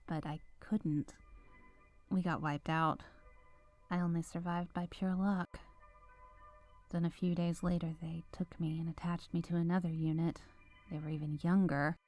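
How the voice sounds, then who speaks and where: A woman acts out a renegade cyborg witch, a former soldier weighed down by remorse. Her voice is lowish and very mellow, soft and confiding, with hesitant phrasing. It is a scripted ASMR roleplay with music playing underneath. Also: close to an everyday voice. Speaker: ASMR voice performer. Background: background music.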